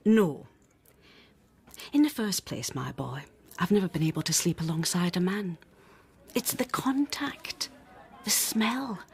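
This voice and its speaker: An actress playing a frank, worldly café widow in a radio drama, blunt and teasing, with a husky voice. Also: female voice, lowish voice, lively intonation, measured pace, long pauses, heavily acted.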